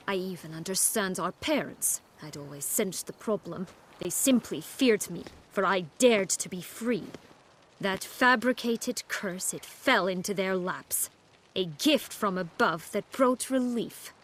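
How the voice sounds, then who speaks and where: A woman playing a proud, bitter exiled noblewoman in a video game, speaking in a deliberate, cutting, dramatic manner. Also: medium pitch, a little hiss or hum, close to an everyday voice. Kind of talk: video game cutscene acting.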